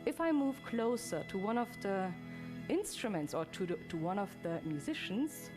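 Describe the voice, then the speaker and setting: A woman's voice: mid-range voice, sing-song, moderate pace. A woman giving an informal live demo to an audience, addressing them directly as a presenter. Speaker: presenter demonstrating immersive videos. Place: immersive presentation space with an audience. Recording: loud music behind the voice. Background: music from demo video.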